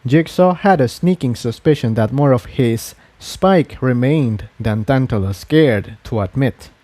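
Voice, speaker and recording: A man's voice: medium pitch, expressive, measured pace, warm tone, no echo. A man reading an adventure story aloud as a scripted dramatic narration. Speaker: fan fiction reader and narrator.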